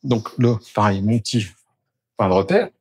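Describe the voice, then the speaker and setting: A man's voice: lowish voice, sing-song, normal speed, a little mellow, slightly rough, no echo. A man working as a geobiologist, walking through a house and explaining each step of his work to the camera. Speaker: geobiologist doing an energy clearing. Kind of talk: on-the-spot demonstration with explanation. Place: indoors in a house, moving between rooms.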